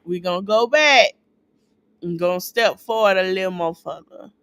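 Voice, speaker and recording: A woman podcast host giving blunt, casual commentary in a conversational, drawn-out way. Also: on the low side, fairly bright tone, no echo.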